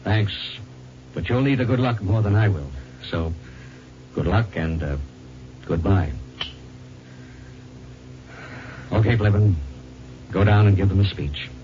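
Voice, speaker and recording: A man's voice: on the low side, moderate pace, pauses often, natural voice. An American man playing a weary, resolute spaceship captain in 1950s radio drama, clipped and commanding. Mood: weary, brisk. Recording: muffled, noisy 1950s radio recording.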